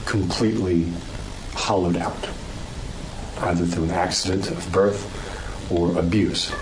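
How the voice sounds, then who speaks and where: An actor playing a grave man lecturing deliberately and didactically in short phrases in a horror audio drama, over background noise. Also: low voice. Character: grave man explaining malevolent spirits like a lecturer.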